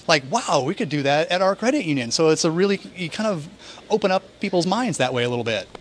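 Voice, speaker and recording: Male interviewer, slightly high voice, chatty and jokey, throws in quick asides at a conference. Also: animated, a little hiss or hum. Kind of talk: casual interview. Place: at a conference in New York City.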